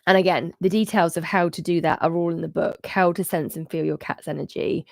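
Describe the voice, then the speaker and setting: A woman, congested and a bit muffled, talking casually to viewers on a live stream from a bright room at home into a studio mic. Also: fairly low pitch, steady tone, fairly quick, gravelly, no echo. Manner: chatty, gesturing, rambling with restarts. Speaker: host of a cat energy channel. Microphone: studio microphone on arm in front of her.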